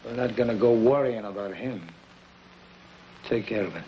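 An actor playing a terse, cold-blooded gangster on the run in a hard-boiled 1950s television crime drama. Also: male voice, lowish voice, moderate pace, lightly acted. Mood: cold, ruthless. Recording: muffled, hissy 1950s TV soundtrack.

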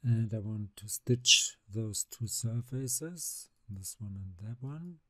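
A man, narrating a screen-recorded software tutorial step by step, calmly describing each click. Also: lowish voice, no room sound, clean studio sound. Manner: unhurried, step-by-step narration of mouse actions. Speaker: CAD software instructor.

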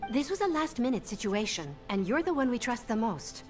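A brisk, practical young secretary for a video game. Her voice is high and lively, and it jumps around as she talks. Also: faint music behind.

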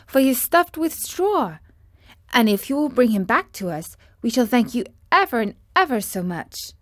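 Storybook girl, young and eager, read by a woman; high, quick, dry read. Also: sing-song, dry recording. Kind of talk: storybook character voice.

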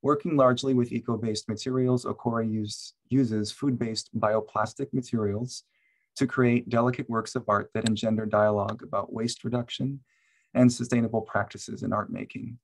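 A man moderating a panel on a video call, reading a formal introduction of the speaker in a steady, measured way. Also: medium pitch, creaky, poor audio.

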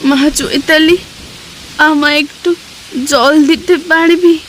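A woman playing a gentle, anxious, ailing village wife and mother in a Bengali cartoon story. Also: high voice, fairly even intonation, slow pace, slight background noise, low-quality recording. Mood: worried.

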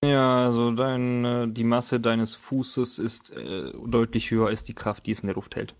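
A man acting as game master, narrating and bantering casually around a tabletop game with halting, hesitant phrasing. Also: medium pitch, steady tone.

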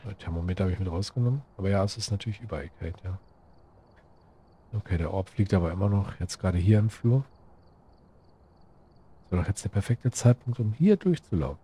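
A man's voice: deep voice, animated, fairly quick, pauses often, dark tone, breathy edge. A man giving casual let's play commentary, thinking aloud to himself in short, musing phrases. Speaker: gaming streamer playing solo.

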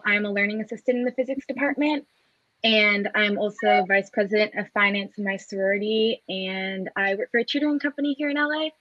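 A woman's voice: medium pitch, fairly bright tone, no echo, dull audio. A woman in her twenties, an undergraduate student, casually introducing herself on a video call. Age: 20s.

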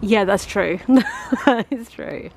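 A woman chatting casually outdoors in a garden, laughing now and then in a lively way. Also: medium pitch, takes it slowly, noisy background. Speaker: home renovation vlogger.

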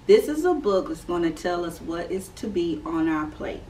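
A woman in her thirties, a preschool teacher, reading a picture book aloud to young children in a slow, clear, sing-song storytime manner from her classroom. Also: lowish voice, big pitch swings, warm tone. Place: classroom indoors. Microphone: camera a few feet away. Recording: slightly distant camera mic with faint room noise.

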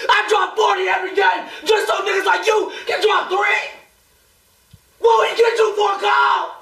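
A shrieking furious basketball star. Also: male voice, gravelly, heavily acted. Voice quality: shrill, high strained yelling. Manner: screaming rants at teammates. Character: furious adult male basketball star screaming at teammates. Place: small indoor room with wood paneling. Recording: echoey small room.

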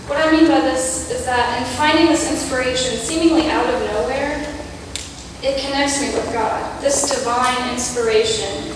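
A young woman with a fairly high voice gives a prepared reflection from a church pulpit. She sounds earnest and measured, with a few self-deprecating asides. The long reverb of a big sanctuary and a steady room hum surround her. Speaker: youth speaker. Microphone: pulpit mic, camera far off. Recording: echoey church hall from far off.

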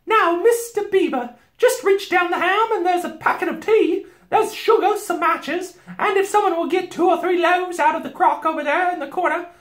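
A performer voicing a motherly, unflappable female beaver from a storybook, brisk and homely, in a roomy space. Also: extremely high pitch, fairly bright tone, pitched above the performer's own voice. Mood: brisk, cool.

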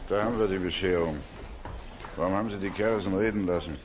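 Actor as grumbling low-voiced man. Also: quick pace, background noise, natural voice. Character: Jaggers, grumbling male colleague. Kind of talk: German radio play acting. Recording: busy crowd murmur behind.

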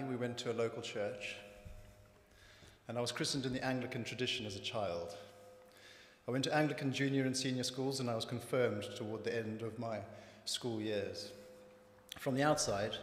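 A middle-aged man with a Zimbabwean accent, reading his personal testimony from notes at a church lectern in a measured, earnest way. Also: medium pitch, steady tone, slight background noise. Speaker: church member giving testimony. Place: church hall with raised stage. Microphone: lectern microphone. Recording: echoey hall from lectern mic.

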